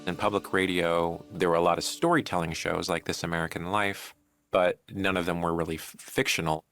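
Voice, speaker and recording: A man's voice: low-pitched, little pitch movement, fairly quick, fairly bright tone, soft background music. A man talking reflectively in an interview as an audio drama producer.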